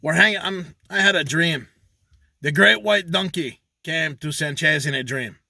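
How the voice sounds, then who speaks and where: A man's voice: fairly high pitch, normal speed, vocal fry, pushed and bright, dry recording, studio quality, full character voice. A man voicing a crude, boastful male donkey handler, rambling about himself in the third person in a comic monologue. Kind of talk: comedic character monologue.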